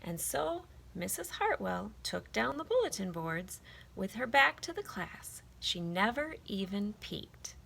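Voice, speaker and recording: A woman reading a children's picture book aloud in an expressive, emphatic storytelling style. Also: mid-range voice, measured pace, vocal fry, slightly rough.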